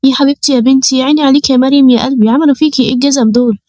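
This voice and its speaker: Brief: a woman playing an overwrought Egyptian mom in a storybook tale, high and loud, racing through her words. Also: steady tone, racing, dry recording, clean studio sound, natural voice. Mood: relieved fussing. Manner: frantic, wailing, overwrought. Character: panicky wailing Egyptian mother.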